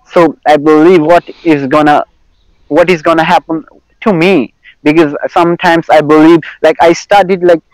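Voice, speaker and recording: A young man with an Indian accent and Hindi as his native language, chatting casually as an English learner, halting and repeating himself as he searches for words. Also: a little high, steady tone, no echo, rough recording. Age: young adult. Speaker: English learner in online conversation. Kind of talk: casual chat.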